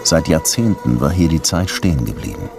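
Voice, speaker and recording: Very deep-voiced, dry police detective. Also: male voice, sing-song, normal speed, over music, exaggerated voice. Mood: reflective. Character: world-weary male Cuban police detective, dogged but courteous. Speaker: lead detective and first-person narrator. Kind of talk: radio drama dialogue and narration.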